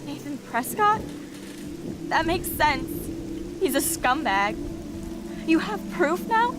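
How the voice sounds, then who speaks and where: A woman's voice: high voice, big pitch swings, slow, noisy background, heavily acted. A woman playing a despairing, hurt teenage schoolgirl in a dramatic video game. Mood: wary, faint hope. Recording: game music and rain behind.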